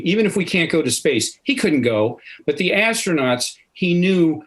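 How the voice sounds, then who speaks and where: An older man speaking as an academic panelist on a video call, explaining in an emphatic storytelling way. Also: a little high. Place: indoor home study, video call.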